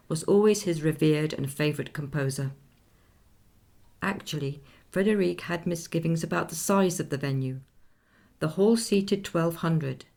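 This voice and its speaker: An actress playing a woman who recounts a composer's life in measured, informative radio-play narration. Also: deep voice, creaky, darkish tone.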